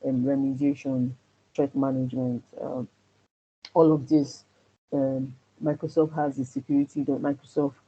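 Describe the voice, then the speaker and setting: A man who says he has a loud voice teaches a security class on a video call, hesitating often with ums and restarts. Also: mid-range voice, level tone, normal speed. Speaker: security trainer. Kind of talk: online class presentation. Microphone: computer mic on a video call. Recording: dull lo-fi video call audio.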